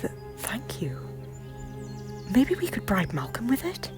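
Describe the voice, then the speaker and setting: Soft hopeful young noble lady, woman voicing her, lively pitch. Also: unhurried, soft background music. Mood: gentle. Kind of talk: fan audio drama acting.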